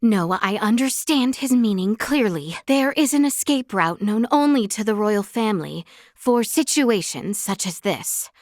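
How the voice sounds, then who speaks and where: A woman's voice: medium pitch, animated, measured pace, no room sound, natural voice. A woman playing a young princess in a video game, speaking in a formal, courtly and earnest way, recorded dry in a studio. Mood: determined. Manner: formal, courtly, archaic phrasing. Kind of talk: scripted video game voice acting.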